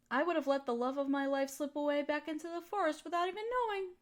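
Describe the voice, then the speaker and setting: A woman's voice: high-pitched, lightly acted. A woman playing an affectionate, teasing girlfriend in an intimate comfort roleplay, soothing and cooing as she pampers her partner. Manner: cooing, soothing pet-talk. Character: affectionate, teasing, nurturing girlfriend of a fox spirit. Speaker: audio roleplay voice performer.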